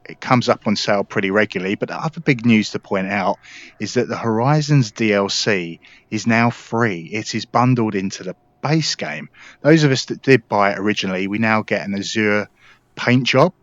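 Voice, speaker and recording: A man's voice: on the low side, moderate pace, fairly bright tone, dry recording, lo-fi sound. A man giving casual gameplay commentary, explaining things informally and directly to his viewers. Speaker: gaming video creator.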